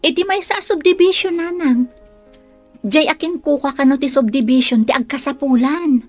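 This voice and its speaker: A woman's voice: high voice, moderate pace, soft background music, natural voice. A woman with an Ilocano accent playing a polite, earnest young caregiver applicant in a radio drama. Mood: eager.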